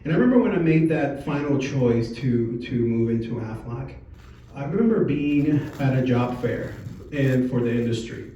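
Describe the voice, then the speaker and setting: A man in his forties from Puerto Rico gives an informal presentation, telling a personal story in a conversational, halting way. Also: mid-range voice, expressive, warm tone, far from the mic. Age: 40s. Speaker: speaker at a company sales meeting. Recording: echoey room from afar, muffled, faint noise.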